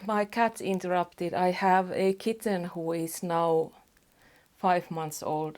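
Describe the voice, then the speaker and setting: Finnish woman doing a get ready with me at home, low voice, talks slow and soft, kinda rambly. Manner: soft-spoken, unhurried, rambling with small restarts. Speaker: beauty vlogger. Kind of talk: casual get-ready-with-me chat. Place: home apartment.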